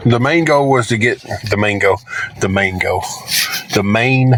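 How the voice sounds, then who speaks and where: A man with a thick Southern accent and a raspy, stuffy voice, chatting haltingly and apologetically on a phone livestream. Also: mid-range voice, expressive, noisy background. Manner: halting, repeats words, apologetic. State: stuffy nose. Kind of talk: casual livestream chat.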